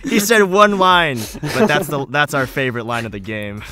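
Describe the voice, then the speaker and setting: A man's voice: a little high, moderate pace. A man chatting casually as a podcast guest, emphatic and jokey, repeating himself for effect. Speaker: podcast guest and amateur voice actor. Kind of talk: casual group banter. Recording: music playing behind the voice. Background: background music.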